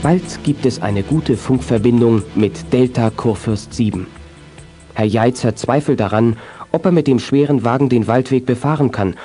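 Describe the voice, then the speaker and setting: A man's voice: medium pitch, dark tone, husky. A man narrating a children's radio play in a scripted storytelling style.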